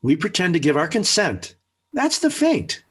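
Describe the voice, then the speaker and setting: A man's voice: high voice, sing-song, moderate pace, fairly bright tone, husky, exaggerated voice. An actor playing a smug, scheming middle-aged American father in 1940s radio sitcom style, with pompous, emphatic speechifying. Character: smug scheming family father, blustery and theatrical. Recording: old 1940s radio recording.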